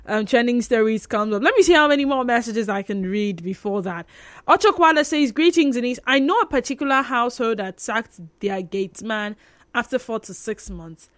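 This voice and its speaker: A Nigerian woman presents a live radio talk show in a fairly high voice. She reads out messages expressively and talks around them in a conversational way. The sound is close and dry, as in a studio. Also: dry recording.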